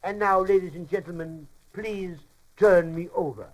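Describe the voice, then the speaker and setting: Guy doing a high pitched pompous french teacher, comedy radio lecture, mock formal and muddled. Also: moderate pace, a little hiss or hum, close to an everyday voice, low-quality recording. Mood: mock-formal lecturing. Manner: mock-formal, muddled, with malapropisms and mangled word order. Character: pompous bumbling comic French professor mangling French and English. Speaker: comic lecturer. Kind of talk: vintage comic radio monologue, mock language lesson.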